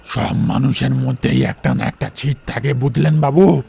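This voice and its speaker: A man playing an eerie, humble old village boatman ghost in an audio horror story, with a creaky, gravelly voice. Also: mid-range voice, normal speed, warm tone, slight background noise. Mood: humble, cryptic.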